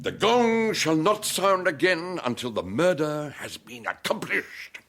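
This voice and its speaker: An actor playing an excitable, pompous upper-class country-house host in a radio drama, speaking precisely and theatrically. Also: male voice, a little high, measured pace, slight background noise. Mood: officious, showy.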